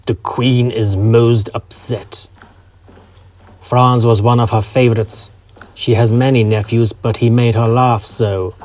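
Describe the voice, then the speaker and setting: A man voicing a big, courteous prince consort in a story, speaking formally and deliberately. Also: medium pitch, little pitch movement, a little mellow, lightly acted. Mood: grave, concerned.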